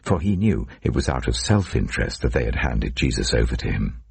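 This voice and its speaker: A man's voice: rumbling low, sing-song, brisk, gravelly, slightly rough, no room sound. A man narrating scripture as a scripted, dramatic audiobook reading.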